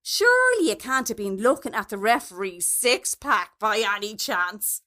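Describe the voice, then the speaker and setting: A woman's voice: mid-range voice, sing-song, normal speed, bright, forceful tone. A woman voicing a wry, teasing parent on the sidelines in a story reading, with an arch manner. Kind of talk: storybook dialogue.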